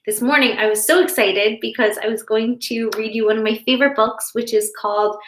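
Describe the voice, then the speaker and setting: A woman with a fairly high voice speaks to young children as a school educator would. She keeps her explanations simple and gentle, chatting and reassuring as she goes. The sound is clearly echoey, like a home office with bare walls. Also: fairly even intonation, brisk. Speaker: school educator reading stories to children online.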